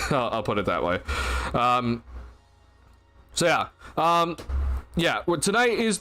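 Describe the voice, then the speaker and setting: A man's voice: medium pitch, bright, forceful tone, clear, resonant tone. A tired, slightly stuffy young man hosting a casual postgame livestream, rambling with lots of fillers and restarts into a desk mic. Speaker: sports livestream host. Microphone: desk mic at a streaming setup.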